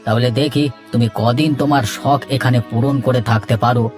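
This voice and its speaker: A man's voice: mid-range voice, steady tone, a little fast, close to an everyday voice. A man voicing a bullying, domineering village headman, a cartoon villain, pompous and menacing. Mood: haughty. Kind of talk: cartoon dialogue acting. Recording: faint music behind.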